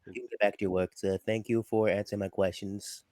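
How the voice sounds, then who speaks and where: A man voicing a polite, simple young adventurer in a tabletop game, speaking plainly and haltingly. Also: on the low side, flat delivery, a little mellow, no room sound, voice pushed down, close to an everyday voice.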